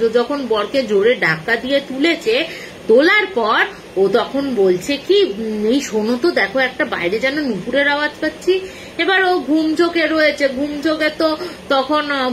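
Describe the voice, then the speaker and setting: High-voiced woman telling ghost stories. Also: fairly bright tone, slight background noise, rough recording. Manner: conversational, repeatedly clarifying herself as she goes. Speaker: storyteller. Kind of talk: informal retelling of a ghost story.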